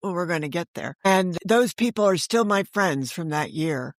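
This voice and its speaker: A woman's voice: fairly low pitch, expressive, moderate pace, no room sound. Older woman, chatty storyteller, close dry sound. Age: older, around 70. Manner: chatty and candid, acts out quoted remarks. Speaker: guest, author and audio drama creator. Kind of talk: casual anecdotal storytelling in an interview.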